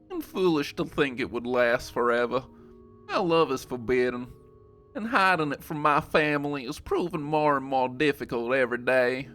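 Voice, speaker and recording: A woman's voice: a bass voice, a bit bright. A man voicing a young Southern American woman who earnestly reads out a sorrowful farewell love letter.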